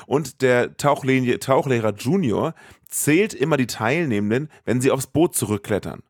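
A man's voice: mid-range voice, animated, moderate pace, dry recording, studio quality. A man, a podcast host narrating a scripted, colloquial recap of a film.

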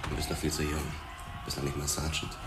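A man's voice: extremely low pitch, completely flat delivery, a little fast, heavy background noise. An actor playing a laconic, guarded ex-boxer cop who narrates his past in a crime radio drama. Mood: guarded, dry.

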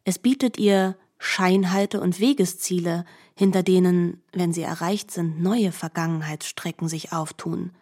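A woman narrating a literary radio play as a composed storyteller, with calm, deliberate phrasing. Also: on the low side. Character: composed female storyteller of an epic.

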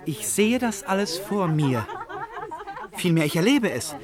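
Rapt, eccentric dreamer, higher-voiced man. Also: big pitch swings, unhurried, a crowd in the background. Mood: wistful. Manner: rapt, poetic, courteous. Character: eccentric, dreamy gentleman given to poetic visions. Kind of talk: German radio play acting.

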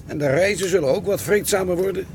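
A man's voice: high-pitched, fast, darkish tone, slight background noise. An actor playing a stubborn, hopeful ship's engineer in an audio drama, arguing his point with grumbling insistence. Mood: persuasive, grumbling. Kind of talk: dramatic dialogue acting in Dutch audio drama.

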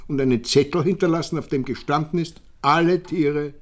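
An actor playing a genial, self-satisfied count with an Austrian accent, courtly, chummy and long-winded in a radio drama. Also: male voice, fairly high pitch, fairly quick, natural voice. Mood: wistful, defensive. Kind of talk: German-language radio drama acting.

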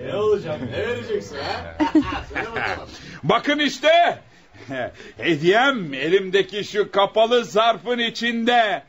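An actor playing a jovial, showy middle-aged family friend in a Turkish radio play, hearty and boisterous. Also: male voice, sits high, big pitch swings, slow, long pauses, a crowd in the background, full character voice. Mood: boisterous, teasing. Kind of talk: Turkish radio theatre acting. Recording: dull lo-fi radio drama recording.

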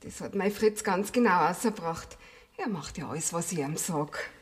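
A woman's voice: on the low side, normal speed, natural voice. An actress playing a practical married woman in a radio play, plain-spoken, with a Bavarian accent. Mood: matter-of-fact. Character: practical, worried married woman friend, expecting a child. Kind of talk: German radio play acting. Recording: reverberant 1970s radio drama studio.

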